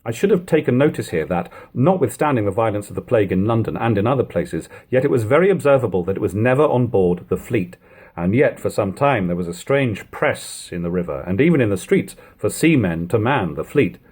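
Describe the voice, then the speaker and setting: A man reading an old literary text aloud as an audiobook narrator, with expressive, emphatic phrasing and deliberate pauses. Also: on the low side, slightly rough. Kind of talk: dramatic audiobook reading of 18th-century prose.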